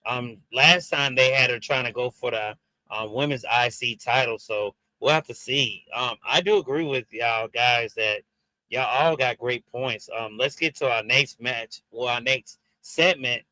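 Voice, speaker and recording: A man's voice: medium pitch, a little slow, sharp tone, no echo, rough recording. A man with a Jamaican and Haitian accent hosts a casual streamed wrestling review, hesitant and full of fillers and restarts as he talks to his viewers. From Jamaican and Haitian background. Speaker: wrestling review show host.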